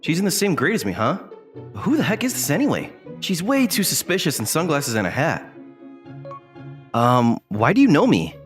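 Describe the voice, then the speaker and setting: A man's voice: a little high, wildly swooping pitch, moderate pace. A man playing a young college student, ordinary, guarded and self-deprecating, in an anime-style dub with a hesitant, dry, puzzled delivery. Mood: puzzled, wary. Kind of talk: anime-style dub with first-person narration and inner monologue. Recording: music behind the voice.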